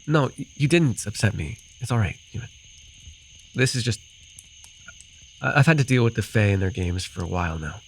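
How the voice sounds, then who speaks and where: A man playing a gruff but caring, easily flustered fantasy forest guide in an immersive ASMR roleplay, speaking hesitantly and soothingly. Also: on the low side, expressive, normal speed, long pauses, a little hiss or hum. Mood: earnest, reassuring. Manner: hesitant, soothing, sighing, teasingly defensive.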